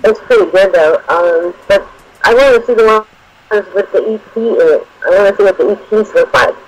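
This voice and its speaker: A man co-hosting a radio talk show, chatting casually in a halting way with restarts and "you know.". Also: sits high, low-quality recording. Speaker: radio co-host. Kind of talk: casual on-air chat.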